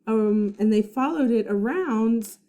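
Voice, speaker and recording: Female primary teacher, slightly high voice, simple, clear-worded storybook narration for first graders. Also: slow pace, mellow. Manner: explaining simply, checking understanding. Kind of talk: reading a children's book aloud with recaps.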